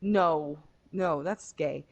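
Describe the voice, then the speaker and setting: A woman's voice: deep voice, very slow. Irish woman, podcast co-host, casual and sweary, lo-key exasperated. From Ireland. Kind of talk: casual chat.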